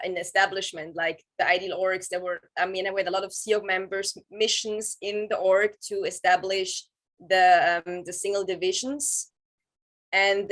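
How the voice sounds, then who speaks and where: A woman's voice: mid-range voice, fairly bright tone, poor audio. A woman in her thirties from Switzerland with a Swiss German accent, whose first language is Swiss German, speaking hesitantly in English as an interview guest. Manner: hesitant, many "um" pauses, searching for words. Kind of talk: casual interview over video call.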